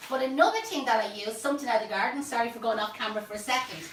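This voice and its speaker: A woman's voice: a little high, animated, very fast, slight background noise. Chatty Dublin woman teaching flowers. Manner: chatty, homely, jokes asides. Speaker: florist teacher and host. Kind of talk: live floral demonstration. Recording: roomy, mic at a distance.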